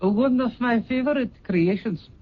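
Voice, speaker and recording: A man's voice: extremely high pitch, measured pace, husky, exaggerated voice. An actor playing a courtly, eccentric male boutique owner in a radio drama, with a coy, formal, obsequious manner. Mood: courtly, flattering. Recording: dull, noisy old radio recording.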